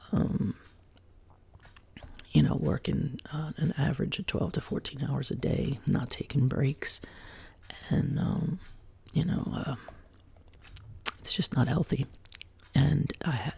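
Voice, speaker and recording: A woman hosting a soft-spoken ASMR chat, sick and sounding lower than usual, drifting between quiet speech and whispers in her home studio. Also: gravelly, breathy edge. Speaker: ASMR channel host. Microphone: binaural desk microphone.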